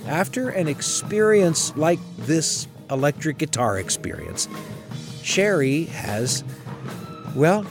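A man hosting a radio storytelling show, narrating in a reflective, conversational way with deliberate phrasing. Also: fairly high pitch, sing-song, music in the background.

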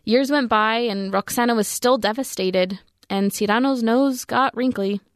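A woman's voice: on the high side, moderate pace, no echo, clean studio sound. A woman in her twenties, a student giving a prepared book review. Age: 20s.

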